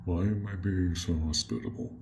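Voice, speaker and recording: Guy doing a low rumbly dragon, formal n polite but kinda awkward, roleplay audio, noisy recording. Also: moderate pace, gravelly. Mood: hospitable, courteous. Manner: formal, archaic, courtly phrasing. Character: courteous, awkward male dragon, proud but smitten host. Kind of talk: scripted roleplay audio addressed to the listener.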